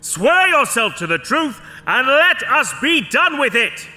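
A man's voice: very high-pitched, very animated, unhurried, bright, forceful tone, faint music behind, heavily acted. A man playing an imperious, exasperated judge in a fantasy audio drama, pompous and commanding. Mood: angry, exasperated.

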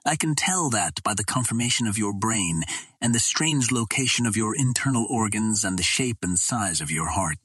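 A man's voice: lowish voice, expressive, normal speed, a bit bright, breathy edge, no echo, studio quality. A man voicing a probing, mysterious old Martian host in a storybook, speaking in formal, declarative sentences. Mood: probing, assured.

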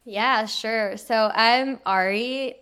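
Clear-voiced young woman from Peru. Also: on the high side, very slow, a bit bright. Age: young adult. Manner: candid, earnest. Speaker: podcast guest, producer. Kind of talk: casual personal storytelling.

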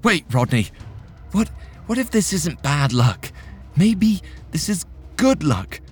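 A man's voice: big pitch swings, slow pace, breathy edge, soft background music, full character voice. A man voicing a pompous young male entrepreneur who pleads in a raised, strained voice. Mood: pleading. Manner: pleading, high-pitched.

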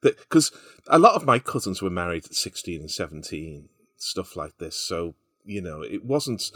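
A man's voice: deep voice, sing-song, normal speed, sharp tone, no room sound. A man, making wry, amused remarks in a casual chat.

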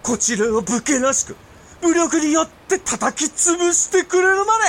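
A man acting a haughty, gloating warrior ruler in a period voice drama, with a pompous, theatrical, drawn-out delivery. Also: very high voice, expressive. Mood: imperious.

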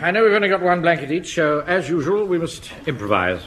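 An actor playing a pompous middle-aged English army captain in a British sitcom, clipped, officious and self-important. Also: male voice, a little high, very animated, a little fast, a bit bright. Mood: indignant, officious. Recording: old comedy recording with audience.

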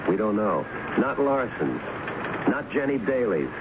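An American actor playing a dogged, wry police lieutenant in 1970s radio drama, talking in a dry, colloquial, probing way. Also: male voice, fairly low pitch, sing-song, a little slow. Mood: dry, matter-of-fact. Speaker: radio drama actor, lead detective and narrator. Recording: muffled, noisy 1970s radio recording.

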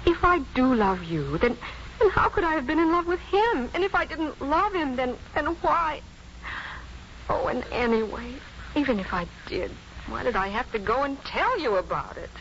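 A woman's voice: sits high, sing-song, normal speed, a bit bright, exaggerated voice. An actress playing an earnest, headstrong young woman in love, pressing and emotional, in a muffled 1950s radio drama. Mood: distressed. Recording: muffled 1950s radio recording, faint noise.

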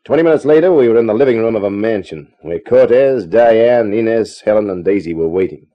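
An actor playing a wisecracking, sardonic private detective with slangy, terse delivery in a 1950s radio crime drama. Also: male voice, on the low side, expressive, a little fast, natural voice. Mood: terse narration. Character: wisecracking, hard-boiled male private detective. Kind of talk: 1950s radio detective acting with first-person narration. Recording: dull old radio recording.

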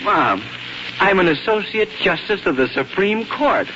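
Excitable grown son, swooping pitch. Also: male voice, measured pace. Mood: excited. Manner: excitable, boyish. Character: eager, boyish grown son, a proud new judge. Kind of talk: 1940s radio acting. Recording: muffled, noisy 1940s radio recording.

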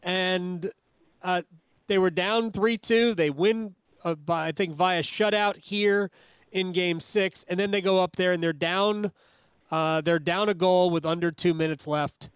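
A man's voice: high voice, measured pace, smooth, dry recording. A man hosting a conversational sports radio interview, speaking into a close studio microphone.

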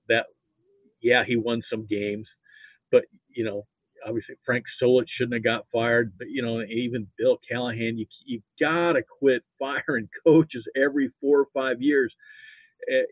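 A man chatting casually about sports as a guest fan, halting as he circles toward his point, heard over a thin call line. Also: lowish voice, dry recording. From Nebraska, United States. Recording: thin, phone-like narrow-band line.

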